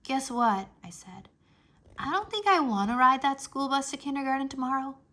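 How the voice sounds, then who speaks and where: Outspoken, anxious five-year-old girl played by a woman, storybook narration, bright and emphatic, childlike delivery. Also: sits high, moderate pace, pitched above the performer's own voice. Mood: worried. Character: outspoken, worried little kindergarten girl narrating her day. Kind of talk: animated children's read-aloud.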